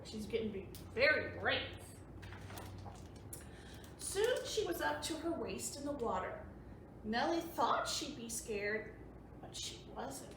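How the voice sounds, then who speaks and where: A woman presenting a children's storytime, reading aloud and explaining things simply for young listeners. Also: high-pitched. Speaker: library storytime host. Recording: very echoey room, distant mic, faint noise.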